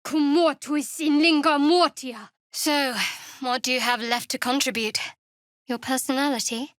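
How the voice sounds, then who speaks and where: Wry guarded young cleric lady for a video game, kinda high voice, sardonic n clipped, really dry recording. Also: steady tone, a little slow, no echo. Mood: incanting, forceful, then sharp, angry.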